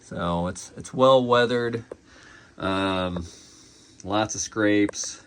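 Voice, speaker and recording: A man's voice: on the low side, very slow, fairly bright tone, smooth. A man casually reviewing a collectible in an unscripted, conversational way.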